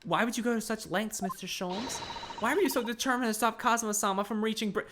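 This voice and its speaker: Streamer doing an excitable young lawyer. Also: mid-range voice, fast talker, noisy background, pitched above the performer's own voice, natural voice. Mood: indignant, shocked. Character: earnest young male lawyer, easily flustered. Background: game music.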